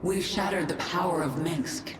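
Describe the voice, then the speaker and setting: A woman's voice: fairly low pitch, normal speed, background noise. Echoing, commanding alien swarm queen. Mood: resolute, reflective. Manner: cold, resolute, commanding. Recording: echoey, distant, under loud game music.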